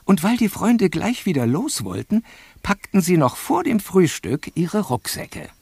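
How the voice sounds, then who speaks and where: A man's voice: high-pitched, big pitch swings, unhurried, creaky, a little mellow, husky, full character voice. A man narrating a children's adventure radio play as its storyteller. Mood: storytelling.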